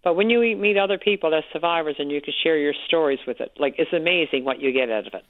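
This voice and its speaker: A woman with a Newfoundland accent calling in to a radio show, loud-voiced and frank, talking on and on. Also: sits low, quick pace, no room sound. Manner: frank, talkative storyteller. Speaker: caller, support group organizer. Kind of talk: radio call-in chat. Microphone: telephone. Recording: tinny phone line.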